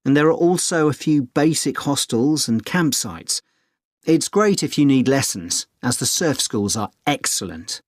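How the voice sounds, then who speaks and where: A man's voice: medium pitch, lively intonation, measured pace, no room sound, clean studio sound. A man acting a friendly, experienced middle-aged surfer dad giving informative, encouraging advice in a scripted exam dialogue. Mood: helpful, enthusiastic.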